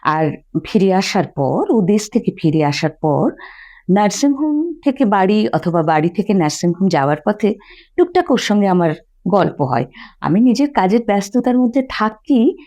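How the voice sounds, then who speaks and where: A woman storyteller reading a short story aloud in an expressive narrating style. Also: medium pitch. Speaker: storyteller, reader. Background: faint music.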